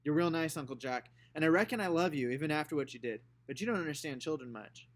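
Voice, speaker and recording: A man's voice: on the high side, fast talker, natural voice. A man voicing a defiant young girl who argues her side, raised and indignant.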